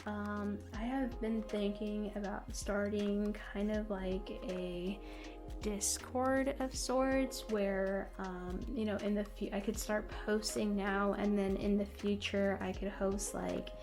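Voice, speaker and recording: A young woman vlogging casually to her viewers, slightly hoarse and scratchy, rambling with lots of ums and you-knows and little self-deprecating giggles. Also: medium pitch, flat delivery, slow, music in the background. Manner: rambling, many fillers like um and you know. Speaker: book and anime vlogger. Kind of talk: casual chat to camera.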